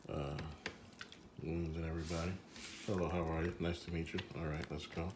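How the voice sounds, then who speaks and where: A man's voice: sits low, little pitch movement, a little fast, roomy sound, background noise. A man hosting a home cooking demonstration, talking in a loose, chatty, jokey way full of fillers. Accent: slips into a Jamaican accent. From Jamaica. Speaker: cooking show host.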